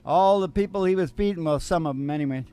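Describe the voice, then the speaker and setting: A man's voice: fairly high pitch, fast, dark tone, clean tone. A man hosting a casual radio show, chatting in a rambling, folksy, irreverent way. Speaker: singing radio show host.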